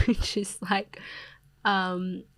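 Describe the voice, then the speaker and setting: A young Australian woman, a poet, talking reflectively as a podcast guest, with plenty of fillers and soft laughs. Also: medium pitch, little pitch movement, drawn-out words, no room sound. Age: young adult. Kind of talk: casual interview conversation.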